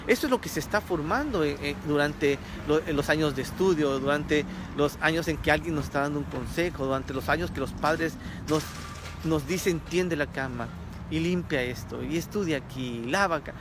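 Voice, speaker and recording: Male preacher, from Ecuador, fairly high voice, earnest, car noise around. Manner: earnest, repeats key phrases for emphasis. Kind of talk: sermon.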